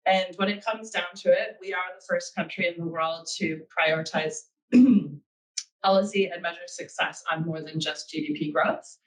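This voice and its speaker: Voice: mid-range voice, steady tone, normal speed, recorded from a distance, poor audio. A speaker with an American accent giving a webinar talk, earnest and thinking aloud with frequent ums. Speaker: guest speaker.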